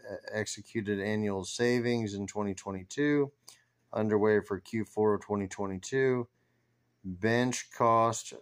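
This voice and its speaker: A man with a fairly deep voice and a smooth, clear tone. He comments on company finances in an informal, rambling way, as if reading a screen and working out his thoughts aloud. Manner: rambling, thinking aloud while reading figures. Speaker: stock commentator.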